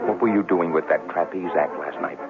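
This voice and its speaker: A man's voice: sits low, fairly quick, over loud music, close to an everyday voice. An actor playing a cocky, hot-tempered and boastful leading man in a radio drama, with a brash, punchy delivery. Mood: jealous. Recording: tinny old radio broadcast.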